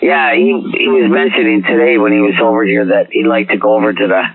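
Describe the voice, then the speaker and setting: Gravelly, low-voiced man on CB radio. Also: expressive, fast talker, no room sound. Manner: rambling, searches for words. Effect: CB radio transmission. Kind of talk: casual CB radio chat. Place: over CB radio. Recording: tinny CB radio with faint hiss.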